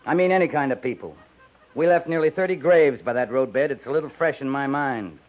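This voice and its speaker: An actor playing a steady, gruffly kind, blunt cavalry captain with an American accent and a terse, measured delivery in a 1950s radio western. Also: male voice, medium pitch, natural voice. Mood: bitter. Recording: narrow, tinny 1950s radio recording.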